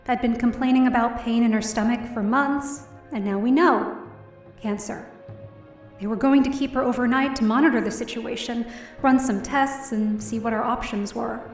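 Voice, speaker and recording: Woman acting troubled young woman, highish voice, first-person drama narration, roomy and slightly distant, music behind. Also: steady tone, moderate pace, lightly acted. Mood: numb, anguished. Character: distressed, down-on-her-luck young woman telling her story. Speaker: lead actor in audio drama.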